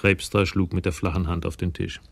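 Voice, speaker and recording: Male narrator for radio crime drama: low, creaky voice, sober scripted delivery. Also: little pitch movement, a little fast, no room sound.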